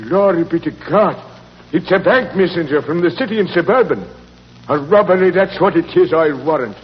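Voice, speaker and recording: A man acts out an excitable old Irish caretaker, a colourful storyteller in an old radio play. His voice sits a little high and is folksy and emphatic. The recording is muffled and echoes. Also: normal speed, slight background noise, lightly acted. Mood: grave, urgent. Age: older. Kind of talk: 1940s radio acting.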